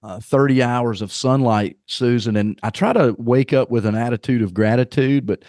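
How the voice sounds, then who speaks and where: A man's voice: medium pitch, moderate pace, a little mellow, dry recording, studio quality. A middle-aged man with a Southern accent, a guest on a podcast, talking casually and earnestly about leadership, often repeating himself as he goes. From Arkansas, US. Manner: rambling, repeats words, earnest. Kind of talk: casual conversational interview.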